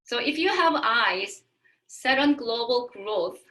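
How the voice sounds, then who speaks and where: A woman's voice: fairly high pitch, animated, takes it slowly, sharp tone, poor audio. A woman presenting a scripted event welcome, reading prepared lines in short phrases. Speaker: event presenter and marketing lecturer.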